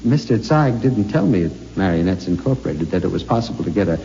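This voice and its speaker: An actor playing a meek, apologetic husband who talks briskly and stammers when cornered, in 1950s radio drama style. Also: male voice, low-pitched, expressive, warm tone. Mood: brisk, placating. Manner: brisk and placating, breaking into stammering panic. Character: meek, apologetic middle-aged husband, easily flustered. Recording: dull old radio broadcast with hiss and long reverb.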